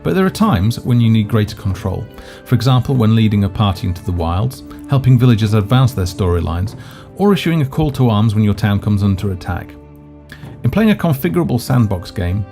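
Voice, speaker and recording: Low, mellow male game narrator. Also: lively intonation, fairly quick, creaky. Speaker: game presenter. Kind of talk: scripted walkthrough narration. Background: background music.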